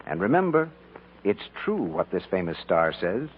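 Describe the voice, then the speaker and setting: A man's voice: deep voice, sing-song, normal speed. A man announcing in scripted 1940s radio commercial style, on a dull, hissy old recording.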